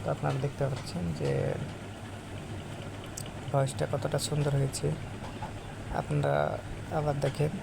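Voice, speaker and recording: A man with a Bangladeshi accent gives an informal, halting tutorial as a vlogger, recorded on a phone. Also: on the high side, vocal fry. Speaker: vlogger demonstrating an app. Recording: noisy phone recording, steady background noise.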